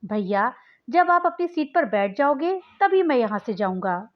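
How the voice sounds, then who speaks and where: A woman's voice: sits high, very animated, measured pace. A woman voicing an earnest young male ticket seller in a story, with a polite, pleading and reassuring manner. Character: earnest, persuasive young man selling his spare train ticket. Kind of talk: storybook character dialogue. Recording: dull, lo-fi sound.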